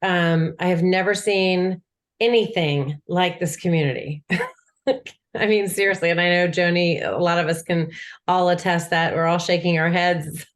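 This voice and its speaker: Enthusiastic lower-voiced woman in her forties. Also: fairly even intonation, a little slow. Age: 40s. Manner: enthusiastic, emphatic. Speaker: industry professional guest. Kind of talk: casual panel talk.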